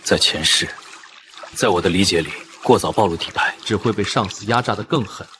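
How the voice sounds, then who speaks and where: A man playing a sardonic low-voiced young prince. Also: brisk, noisy background, close to an everyday voice. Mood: composed. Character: sardonic, world-weary young prince, reborn office worker. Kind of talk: Chinese animated fantasy drama acting with inner monologue.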